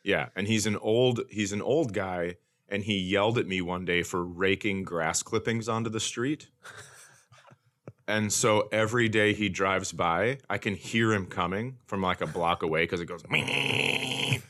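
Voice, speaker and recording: A middle-aged American man telling stories casually and comically, with an animated, blunt, ranting manner and some swearing. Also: deep voice, moderate pace. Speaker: podcast host, comedian. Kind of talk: casual comedic storytelling.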